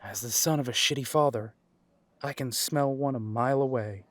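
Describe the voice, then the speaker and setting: A man's voice: medium pitch, normal speed, no room sound, natural voice. A man playing a cocky, wry werewolf alpha and former soldier in an audio drama, sardonic and casual in his challenge.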